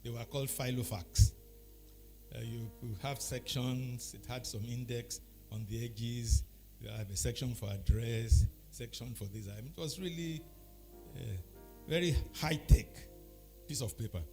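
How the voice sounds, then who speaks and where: Nigerian man explaining deliberately, music behind. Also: mid-range voice, creaky, dark tone. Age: middle-aged or older. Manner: deliberate, explanatory, pausing between short phrases. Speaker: speaker giving a talk. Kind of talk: anecdotal public talk.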